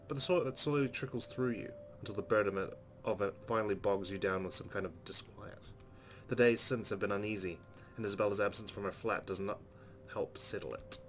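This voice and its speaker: Fast-talking, low-voiced male narrator. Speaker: let's player reading a visual novel aloud. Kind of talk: dramatic reading of first-person narration. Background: soft game music.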